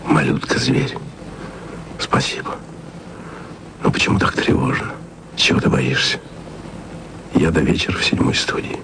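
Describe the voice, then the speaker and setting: An actor playing a lonely, sentimental radio tape editor in love, confiding in short, hushed, wistful phrases in an intimate radio monologue. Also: male voice, mid-range voice, big pitch swings, measured pace, creaky, long pauses, background noise. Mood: anxious longing.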